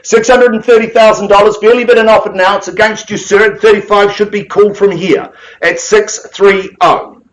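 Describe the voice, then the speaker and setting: Male auctioneer, New Zealand accent, higher-pitched, booming, rhythmic bid-calling patter. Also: steady tone, moderate pace, poor audio. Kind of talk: live property auction calling. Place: auction room with bidders present.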